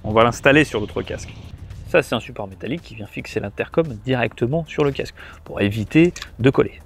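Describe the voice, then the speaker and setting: A man's voice: mid-range voice, animated, brisk, soft background music. A man giving a casual hands-on product tutorial, chatting informally to the viewer and thinking aloud as a gear reviewer.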